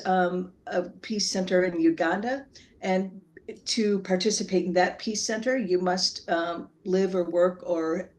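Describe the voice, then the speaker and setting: A woman chatting casually as she introduces a guest speaker over a video call, losing her train of thought now and then. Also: on the low side. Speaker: club member introducing a speaker.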